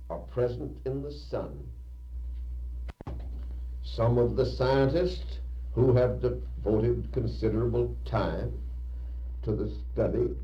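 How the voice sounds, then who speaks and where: Slow, oratorical man on old tape. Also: medium pitch. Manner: measured, oratorical delivery. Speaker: lecturer. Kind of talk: formal prepared lecture. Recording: muffled echoey old reel-to-reel tape.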